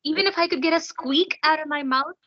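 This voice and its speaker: A woman from Canada, a singer and podcast guest, telling an animated anecdote and laughing often. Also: high-pitched, fast, dry recording, lo-fi sound. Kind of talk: casual anecdote in an interview.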